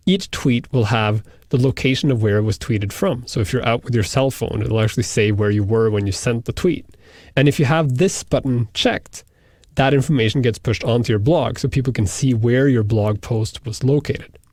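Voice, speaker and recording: Male software tutorial instructor, Norwegian native speaker, methodical step-by-step explainer, mid pitch, dry close sound. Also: normal speed, no echo. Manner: explanatory, walks through settings step by step. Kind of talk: screen-recorded software tutorial.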